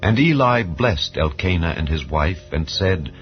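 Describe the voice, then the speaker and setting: Deep-voiced solemn man reading scripture. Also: expressive. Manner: solemn, formal, measured storytelling. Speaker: narrator. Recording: muffled lo-fi audio, music bed. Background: background music.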